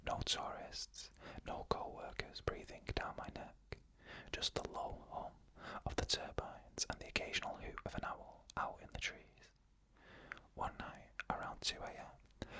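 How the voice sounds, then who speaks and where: Bright, airy ASMR whisper narrator. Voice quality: fully unvoiced whisper. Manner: slow, even, hushed reading of first-person tales. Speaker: storyteller.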